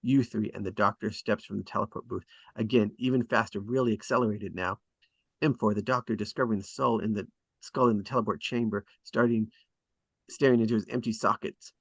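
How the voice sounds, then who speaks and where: A man reads stage directions for a drama table read. His voice sits fairly low, and the sound is very dry, with hardly any room around it. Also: fairly even intonation, brisk, vocal fry, breathy edge, no room sound. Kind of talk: scripted narration at a table read.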